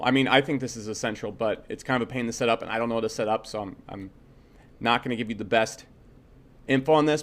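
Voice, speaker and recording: A man teaching a casual screen-share tutorial, speaking bluntly and conversationally, repeating himself and checking in with short tags. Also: mid-range voice. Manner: blunt, opinionated, swears freely. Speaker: software instructor.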